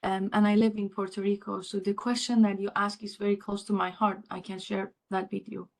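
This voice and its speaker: A woman from Iran answering as an expert panelist, speaking off the cuff with searching pauses. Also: medium pitch, level tone, gravelly, low-quality recording.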